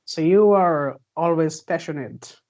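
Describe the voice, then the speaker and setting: A woman's voice: low-pitched, a little slow, darkish tone, dull audio. A woman hosting an interview, asking questions politely and answering with short encouraging remarks. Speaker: interviewer host.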